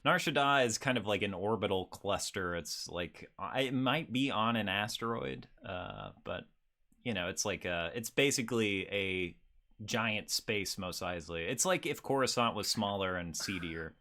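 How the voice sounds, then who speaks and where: A man's voice: lowish voice, lively intonation, normal speed, bright, forceful tone. A man hosting a podcast in a casual, laid-back and jokey way. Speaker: podcast host. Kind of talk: casual chat.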